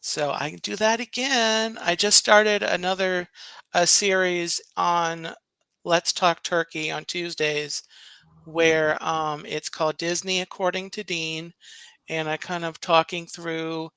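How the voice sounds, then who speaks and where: Soft-spoken guy rambling on a live stream, slow, smooth voice, dry close mic. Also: high voice, little pitch movement, no echo. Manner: unhurried, rambling with fillers. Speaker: co-host, stream guest. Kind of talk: casual live-stream chat.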